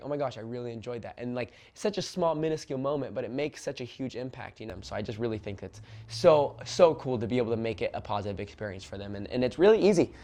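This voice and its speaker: A young man from Oregon who coaches youth soccer, telling his story in a casual, earnest interview with plenty of fillers and restarts. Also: medium pitch. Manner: earnest, fast talker, many fillers and restarts.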